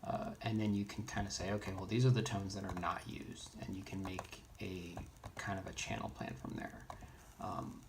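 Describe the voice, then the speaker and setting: A man giving an unscripted how-to tutorial in a halting way, thinking aloud as he explains each step. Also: sits low, flat delivery, vocal fry. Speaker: instructor in a radio how-to tutorial. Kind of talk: unscripted screen-demo tutorial. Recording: noisy, keyboard and mouse clicks. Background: noise, typing and clicking.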